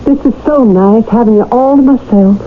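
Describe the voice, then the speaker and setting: An actress playing a devoted wife in a radio drama, affectionate and coaxing but insistent. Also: female voice, a little high, normal speed, lightly acted. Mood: affectionate, cosy. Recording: muffled, noisy old radio broadcast.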